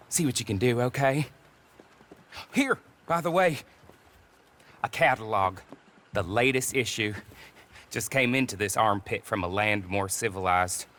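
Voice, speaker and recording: Glum frontier clerk, fairly low voice, hesitant, socially awkward, shady, Western game cutscene. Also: male voice, a bit bright. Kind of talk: dramatic game cutscene acting.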